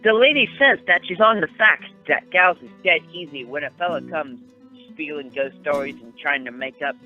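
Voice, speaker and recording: A man's voice: fairly high pitch, moderate pace, natural voice. A man playing a streetwise, eager errand boy in a radio play, talking in ungrammatical slang. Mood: brash, insistent. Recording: narrow phone-like sound, faint guitar music.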